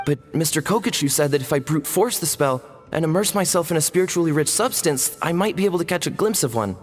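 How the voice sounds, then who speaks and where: A woman's voice: deep voice, animated, fast talker. An actress voicing a timid, apologetic young boy in an anime fantasy game, hesitant and earnest, often stammering. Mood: remorseful, earnest. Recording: long reverb, music underneath.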